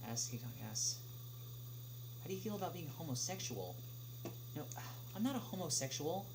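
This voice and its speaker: Halting young man, noisy humming recording. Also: fairly high pitch, big pitch swings. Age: young adult. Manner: halting, hesitant delivery with frequent long filled pauses. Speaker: livestream host answering viewer questions. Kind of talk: casual livestream chat, reading out questions and answering. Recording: clearly noisy, humming background.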